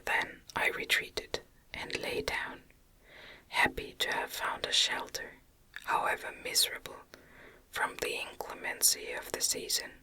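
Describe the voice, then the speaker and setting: A woman gently whispering an ASMR reading of a gothic novel, with deliberate, careful phrasing. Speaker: audiobook narrator, ASMR creator.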